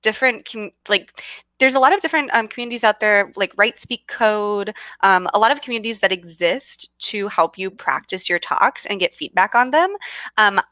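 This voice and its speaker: Bubbly california woman chatting away, bright voice, dry sound. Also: mid-range voice, moderate pace, no room sound. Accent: Californian American. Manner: bubbly, many fillers and restarts. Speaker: guest speaker. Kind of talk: casual video call chat.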